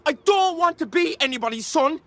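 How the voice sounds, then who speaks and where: A man's voice: very high voice, animated, brisk, pushed and bright, no room sound, heavily acted. An actor playing a proud, sardonic homeless Irishman who grumbles and swears in exasperation, in a radio play. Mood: exasperated.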